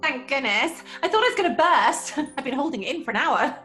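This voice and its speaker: A woman's voice: sits high, expressive, brisk, sharp tone, faint music behind. A woman playing a stage actress in an audio drama, theatrical in her delivery. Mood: relieved, cheeky. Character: theatre actress declaiming classical lines, cheeky between scenes. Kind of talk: dramatic audio fiction acting.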